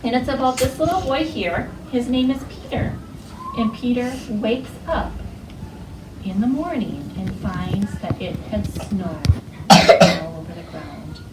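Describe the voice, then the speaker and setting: A woman's voice: a little high, animated, measured pace. Woman teaching children in a noisy, roomy classroom. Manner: instructive, questioning, repeats children's answers back. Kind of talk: interactive read-aloud lesson to young children. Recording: roomy, distant mic, loud classroom noise.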